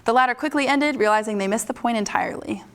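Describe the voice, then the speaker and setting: A woman's voice: on the high side, quick pace. A young American woman co-anchoring a scripted satirical student newscast. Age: young adult.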